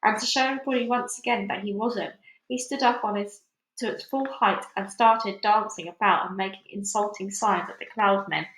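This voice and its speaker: Lady reading a kids book out loud, expressive, mid pitch, echoey room. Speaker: storybook narrator. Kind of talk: expressive children's read-aloud narration.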